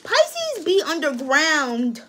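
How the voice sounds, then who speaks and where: High-voiced, cussing woman tarot reader. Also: wildly swooping pitch, slow pace, background noise. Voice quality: high-pitched voice. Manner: profane, slangy and emphatic. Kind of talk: casual tarot reading.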